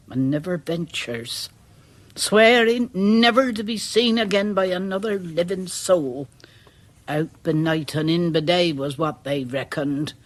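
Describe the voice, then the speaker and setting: A village charwoman from a radio play, with a rural English accent. She speaks slowly and haltingly, rambling in a folksy, deferential way. She sounds like a superstitious, uneasy teller of local tales. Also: on the low side. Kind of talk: radio drama acting.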